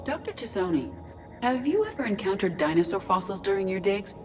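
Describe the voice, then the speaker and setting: A woman's voice: medium pitch, big pitch swings, a little fast, a little mellow, close to an everyday voice. A woman voicing a composed, literal-minded artificial intelligence in a video game, speaking formally and precisely. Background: background music.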